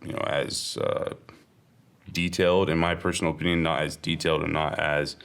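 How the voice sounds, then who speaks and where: A man with a very deep, low voice talks through his views in a relaxed podcast panel. He hedges often and pauses on fillers as he works out his points. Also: gravelly, clean tone. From South Asia. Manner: thoughtful, hedging with fillers. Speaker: podcast co-host. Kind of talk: casual panel discussion.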